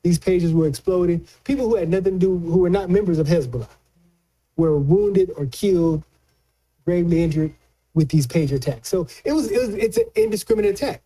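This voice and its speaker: A man giving conversational political commentary as a news analyst, colloquial and halting, often repeating words as he explains. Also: high voice, animated, warm tone, poor audio.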